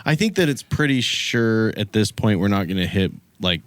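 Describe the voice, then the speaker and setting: A man from Utah co-hosts a casual comedy podcast. His voice is very deep and the sound is dry and close. He teases the others in a dry way. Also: lively intonation, normal speed, clear, resonant tone, no room sound. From American Fork, Utah. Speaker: podcast co-host.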